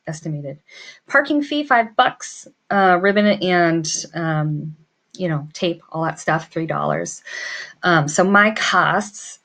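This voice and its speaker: Low-pitched woman giving an informal tutorial. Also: gravelly, a bit bright. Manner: casual, thinking aloud. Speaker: floral designer and business course instructor. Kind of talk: informal tutorial walkthrough of a pricing demo.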